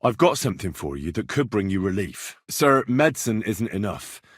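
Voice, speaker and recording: An actor playing a respectful, earnest young vagabond who poses as a learned medieval healer in a video game, speaking in measured, formal address. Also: male voice, on the low side, sing-song, dry recording, clean studio sound. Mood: earnest, persuasive. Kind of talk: scripted video game dialogue.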